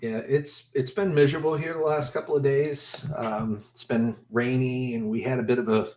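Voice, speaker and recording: A man hosting a casual livestream chat with guests, friendly and halting with frequent restarts. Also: medium pitch, flat delivery, darkish tone, slight background noise. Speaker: livestream host.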